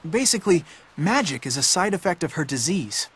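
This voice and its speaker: A man's voice: fairly high pitch, sing-song, a little fast, fairly bright tone, slightly rough, heavily acted. A man voicing a streetwise, cynical boy in a video game, blunt and matter-of-fact, like a bossy older friend. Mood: knowing, blunt. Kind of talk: video game cutscene voice acting.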